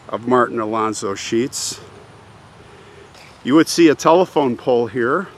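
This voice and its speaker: A man's voice: medium pitch, a bit bright, a little hiss or hum. A man telling a story on location as a video host, in a theatrical, suspenseful way, repeating phrases for effect. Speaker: host of a cemetery history video channel. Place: outdoors, walking in a cemetery.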